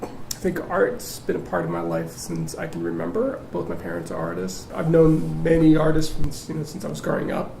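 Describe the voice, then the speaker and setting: A man from Venezuela talking quickly about art in his life, rambling with repeated words. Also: fairly high pitch, lively intonation, creaky, a little mellow, echoey room, slight background noise, low-quality recording. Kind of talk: interview talk.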